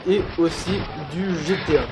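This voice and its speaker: A man's voice: fairly high pitch, fairly even intonation, normal speed, low-quality recording. A sixteen-year-old male, giving casual, stumbling and apologetic commentary on a video game. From Paris, France. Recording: loud music and game gunfire behind. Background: loud music, video game gunfire.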